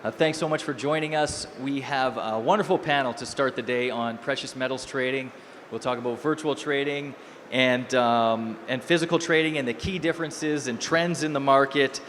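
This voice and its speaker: A man's voice: medium pitch, moderate pace, fairly bright tone. A man hosting a panel at a trade expo, welcoming guests and putting questions to them. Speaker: panel moderator. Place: expo hall stage. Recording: reverberant expo hall with faint noise.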